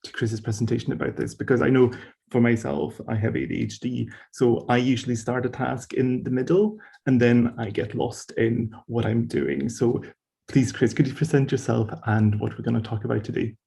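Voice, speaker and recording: A man's voice: medium pitch, normal speed, vocal fry, mellow. A man hosting an online event, introducing the guest in a friendly, hesitant way with fillers. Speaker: host.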